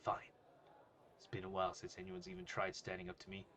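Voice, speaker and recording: A man's voice: low voice, very animated, brisk, pushed and bright, a little hiss or hum, full character voice. Man acting out a swaggering, menacing teenage bully. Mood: threatening, smug. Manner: taunting, boastful, threatening. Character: Kaga, swaggering menacing male high school bully.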